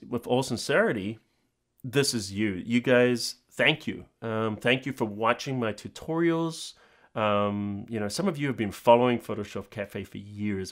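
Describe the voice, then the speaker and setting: A middle-aged man, chatting informally and in a rambling way to his viewers as a channel host. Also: lowish voice. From New Zealand. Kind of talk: casual talk to viewers.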